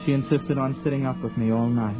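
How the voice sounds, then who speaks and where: A shy, gentle young man speaks in halting, stammering phrases, as an actor plays him. His voice comes through a tinny, phone-like 1940s broadcast with loud music underneath. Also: mid-range voice, fairly even intonation, natural voice. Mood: narrating. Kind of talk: 1940s radio acting and narration.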